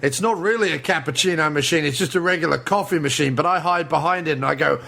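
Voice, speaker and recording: A man's voice: a little high, fast, creaky, pushed and bright, full character voice. A man voicing a parody of a smarmy, menacing action-movie villain for a comedy podcast, with a taunting manner. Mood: smarmy boasting.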